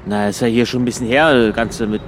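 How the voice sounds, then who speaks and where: Quick-talking dry man. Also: medium pitch, fairly even intonation, slight background noise. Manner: dry, sceptical. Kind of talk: improvised radio comedy chat.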